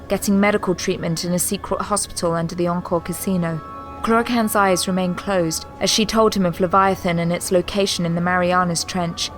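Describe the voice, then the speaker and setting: Woman narrating a scripted audio drama, slightly low voice, composed storyteller, light music behind her.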